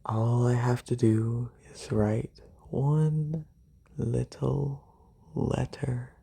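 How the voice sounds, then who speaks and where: A performer voicing a haughty, wealthy lamia noble in a breathy, soft-spoken ASMR roleplay, condescending and unhurried, close on a binaural mic. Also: medium pitch, flat delivery, heavily acted. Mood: calm menace. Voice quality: breathy, whispery.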